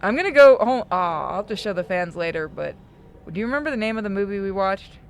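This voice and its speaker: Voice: mid-range voice, lively intonation, fast talker, clear, resonant tone. A streamer narrating a horror visual novel aloud and breaking off for casual asides.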